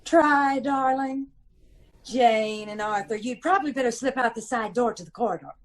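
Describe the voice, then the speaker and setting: A woman's voice: on the high side, normal speed, natural voice. An American woman playing a plucky, teasing detective's wife in an old-time radio comedy, arch and playful. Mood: confident, coaxing.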